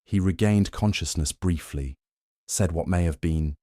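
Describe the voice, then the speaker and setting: Deep, calm man narrating for sleep. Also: no room sound, studio quality. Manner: slow, measured and soothing. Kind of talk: calm scripted bedtime narration.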